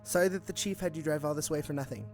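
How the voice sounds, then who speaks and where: An actor playing a friendly, deferential police officer with a polite, casual way of talking in an audio drama. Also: male voice, on the high side, very fast, darkish tone, natural voice. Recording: music behind the voice.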